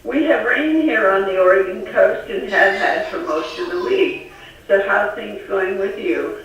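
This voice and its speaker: A woman's voice: medium pitch, lively intonation, measured pace, a little hiss or hum. A woman with an American accent chatting casually over two-way radio as an amateur operator. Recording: very echoey, distant radio link.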